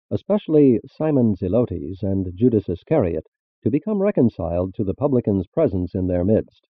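A man with a low voice narrates a religious text formally. His intonation moves up and down a good deal. The sound is narrow and phone-like. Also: no echo. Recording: muffled phone-like band-limited audio.

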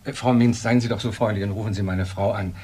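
An actor playing a composed, authoritative professor in a radio crime drama, speaking formally with measured pauses. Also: male voice, on the low side, fairly even intonation, warm tone, husky. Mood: brisk, courteous. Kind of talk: naturalistic German radio crime drama acting.

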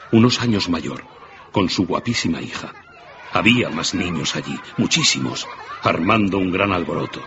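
A man's voice: sits low, highs cut off, background noise. A man narrating a classic tale as a dramatic scripted radio story. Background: music.

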